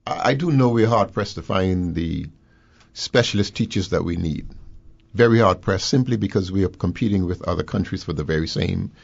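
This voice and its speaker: A man with a low, gravelly voice speaks in a deliberate, formal, lecturing manner on a radio talk show. The sound is dry and dull, like lo-fi broadcast audio. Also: no echo. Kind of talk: measured talk radio discussion.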